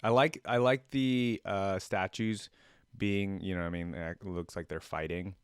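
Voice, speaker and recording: A man's voice: low voice, fairly even intonation, unhurried, smooth, dry recording, studio quality, soft background music. A man hosting a reaction video in a casual, off-the-cuff way, rambling as he thinks aloud. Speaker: host of a reaction video channel.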